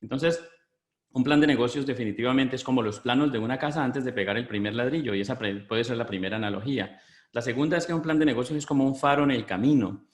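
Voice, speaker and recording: A middle-aged Colombian man with a Colombian Spanish accent, giving a business webinar in a measured, explanatory way, often pausing on fillers. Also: medium pitch, echoey room. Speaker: business consultant presenting a webinar. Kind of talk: webinar presentation.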